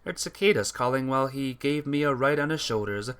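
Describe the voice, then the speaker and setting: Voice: medium pitch, a little fast, a bit bright, clear, resonant tone. A person reading a sentimental story aloud in an earnest narrating style, stumbling now and then. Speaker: visual novel player reading the text aloud. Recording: music behind the voice.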